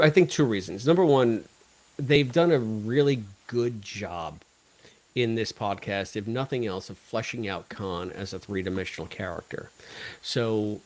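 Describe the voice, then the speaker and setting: A man co-hosting a casual fan podcast, talking in an animated, emphatic way and often wandering into tangents. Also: lowish voice, poor audio. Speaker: podcast co-host.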